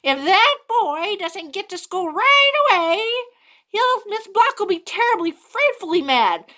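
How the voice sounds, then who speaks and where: A woman's voice: very high voice, sing-song, measured pace, bright, forceful tone, voice pushed up, exaggerated voice. A woman voicing a fretful cat who warns the boy will be punished.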